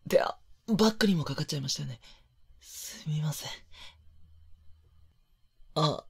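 A male voice actor playing a polite, flustered young man in a romantic voice drama, gentle and hesitant, with a soft breathy delivery. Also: on the high side, expressive, moderate pace, heavily acted. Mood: flustered, apologetic. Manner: hesitant, bashful, apologetic, gentle.

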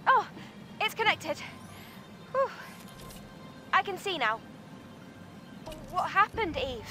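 A woman voicing a bubbly, curious young tech companion in a video game, expressive, excitable and chatty. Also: very high voice, slow, faint music behind, full character voice. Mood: eager, concerned. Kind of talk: animated video game voice acting.

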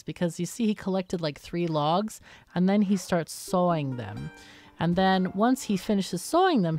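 A woman hosting a gaming video with casual let's-play commentary, explaining and thinking aloud as she plays. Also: fairly low pitch.

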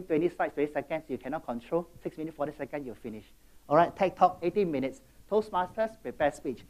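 Animated Singaporean man giving a keynote. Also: fairly high pitch, rough recording. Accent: Singaporean English. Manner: animated, swings between loud bursts and quiet asides, chatty audience check-ins. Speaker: keynote speaker. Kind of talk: motivational keynote talk.

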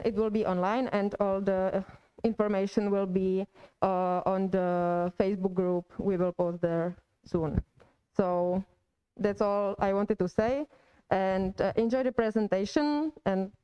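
A woman's voice: medium pitch, steady tone, a little slow, mellow, clear, resonant tone, lo-fi sound. A young woman holding a mic, hesitantly announcing upcoming events to a student audience. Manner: hesitant, with many uhs. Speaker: event organizer. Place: indoor room. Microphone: handheld mic.

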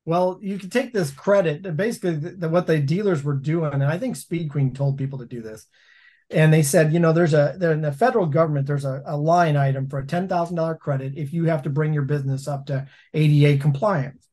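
Male guest, 40s, anecdotal and gestural, raised-pitch delivery, remote call audio. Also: a little fast. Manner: storytelling, animated hand gestures. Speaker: laundromat owner and guest. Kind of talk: casual interview chat. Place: home office. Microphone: video call.

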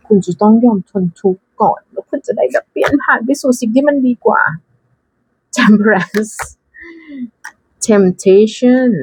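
A woman's voice: mid-range voice, darkish tone, slightly rough, no echo, poor audio. A woman giving casual, chatty unboxing commentary, thinking aloud with frequent exclamations and dropping English card names into her talk. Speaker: tarot deck reviewer.

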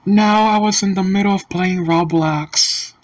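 A synthetic text-to-speech voice playing a defiant, mocking young boy gamer in a cartoon, talking in a slow, stiff way. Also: medium pitch, steady tone, lightly acted, rough recording.

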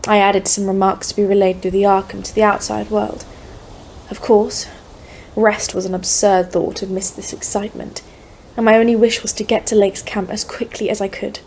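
Woman acting as lady expedition leader telling her story, formal and earnest, mid pitch, slight background noise. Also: level tone, moderate pace, a little mellow, lightly acted, rough recording. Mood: eager. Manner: formal, literary phrasing. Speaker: voice actor in an audio play. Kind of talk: scripted first-person narration, audio drama.

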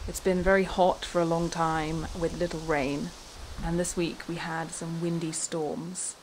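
A woman narrating a quiet slow-living vlog in an unhurried, plain-spoken way. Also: sits low, level tone. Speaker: vlogger. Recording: background noise, at times roomy and distant.

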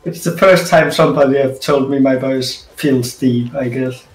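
A man's voice: mid-range voice, moderate pace. A man with a Danish accent calling in to a stream, friendly and self-deprecating. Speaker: call-in guest. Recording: echoey room, far from mic.

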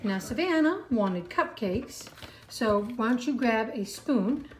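Mom in her thirties hosting a family cooking vlog; gives patient step-by-step guidance; slightly high voice; picked up by a counter camera in a ringing kitchen. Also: animated, slow, a little hiss or hum. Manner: patient, encouraging step-by-step instructions. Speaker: mom and channel host. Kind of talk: casual family cooking chat. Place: home kitchen, indoors. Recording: echoey kitchen with clattering dishes.

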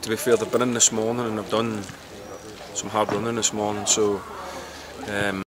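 Middle-aged football manager, halting. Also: male voice, fairly low pitch, flat delivery. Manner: halting, repeats words while thinking. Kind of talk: post-match interview. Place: outdoors at a football ground. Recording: loud outdoor background noise.

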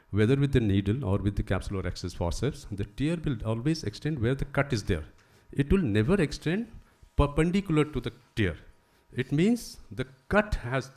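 A middle-aged man with a fairly low voice presents at a conference podium. He explains step by step and pauses often with "uh" as he goes through his slides. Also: a little mellow. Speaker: eye surgeon giving a conference talk. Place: conference hall, standing at a podium. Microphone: podium microphone.

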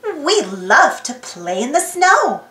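A woman voicing a cheerful young girl who loves her puppy, telling a children's storybook tale expressively and deliberately. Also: high voice, very animated, sharp tone, far from the mic, exaggerated voice. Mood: cheerful, fond. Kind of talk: children's storybook read-aloud in first person. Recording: roomy echo.

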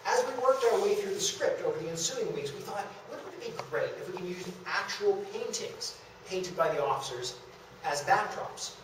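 A man's voice: high-pitched, lively intonation, normal speed. A man, seemingly in middle age, giving a storytelling history talk to slides, acting out bits of conversation. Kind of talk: public lecture with slides, storytelling. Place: small indoor lecture room at a historic house. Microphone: camera a few metres away. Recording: distant camera mic, very echoey room, faint noise.

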